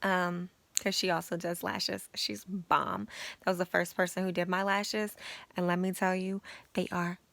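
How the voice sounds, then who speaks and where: A young woman vlogging about her beauty routine in a casual, chatty way, with a raspy voice and lots of fillers. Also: on the low side, measured pace, dry recording. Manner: chatty, relaxed and informal, with many fillers. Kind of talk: casual chat to camera.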